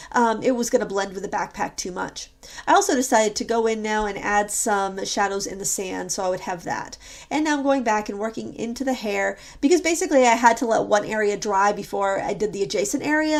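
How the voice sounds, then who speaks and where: A hoarse, stuffed-up woman with a cold, narrating her painting time lapse in a relaxed, chatty way with frequent ums and restarts. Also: medium pitch. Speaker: craft channel host. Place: home basement studio.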